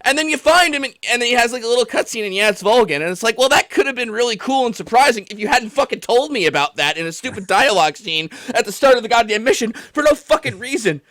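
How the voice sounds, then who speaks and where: A man's voice: very high voice, quick pace, hardly any pauses, no echo. A man hosting a livestream, ranting casually about a game in an exasperated, rambling, profane way. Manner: exasperated, animated, rambling, mimicking others in mock quotes. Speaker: podcast and livestream host taking callers.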